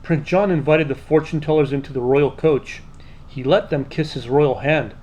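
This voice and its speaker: A man narrating a children's storybook aloud in an expressive, scripted read-aloud style. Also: fairly high pitch, moderate pace, dull audio.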